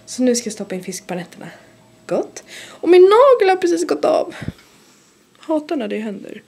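A woman's voice: high voice, very animated, gravelly, a little mellow. A young woman vlogging casually at home, chatting upbeat and straight to her viewers into a camera held at arm's length. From Sweden. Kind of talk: casual vlog talk. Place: bedroom at home, indoors. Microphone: handheld camera at arm's length.

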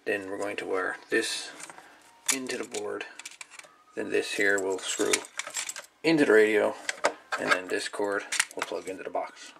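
A man with a fairly low, creaky voice talks casually through a hands-on hobby build. He is folksy and musing, pausing to think as he goes. The recording carries light background noise from the workbench. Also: fairly even intonation. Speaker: hobbyist radio video maker. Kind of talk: casual hands-on workshop demonstration. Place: indoors at a workbench. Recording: light background noise, clicks of handled parts.

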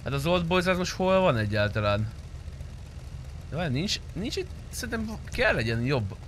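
A man's voice: a little high, big pitch swings, slight background noise. A young man chatting casually with other players while streaming a roleplay game. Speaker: streamer playing GTA roleplay. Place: indoor streaming room.

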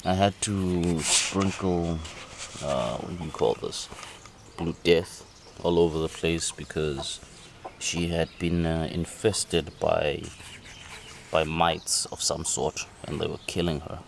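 Very deep, gravelly man outdoors. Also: steady tone, slow. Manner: musing, asks himself questions. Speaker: amateur vlogger filming a farm. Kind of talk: casual off-the-cuff narration of animals. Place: outdoors in a farmyard. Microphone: handheld camera, behind the lens. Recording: noisy outdoor camera audio, birds and insects.

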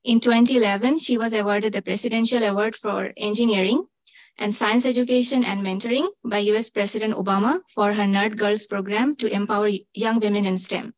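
A woman's voice: medium pitch, fairly even intonation, no echo, poor audio. Woman moderator reading panelist bios. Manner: halting, many uh fillers. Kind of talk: reading speaker introductions.

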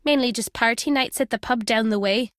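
A woman as an older British ex-spy, video game character, high-pitched, sardonic, guarded but fond, close dry sound. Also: fairly quick, a bit bright, no room sound, natural voice. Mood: wry, reminiscing.

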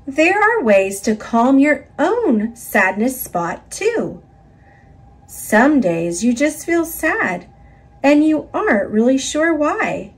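A woman narrating a children's picture book in an expressive, instructive read-aloud style for young listeners. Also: sits high, slow pace.

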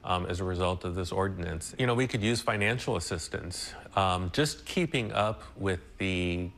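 A man's voice: low voice, normal speed, vocal fry, fairly bright tone. A middle-aged American man giving an unscripted interview answer as a director of an organization. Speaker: nonprofit director being interviewed. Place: indoor room.

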